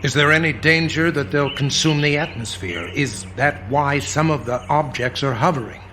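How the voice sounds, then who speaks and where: An actor playing a serious, concerned president in a radio drama, questioning those around him. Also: male voice, fairly high pitch, normal speed, noisy background, close to an everyday voice. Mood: grave. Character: grave, concerned male president seeking answers. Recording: music under the voice.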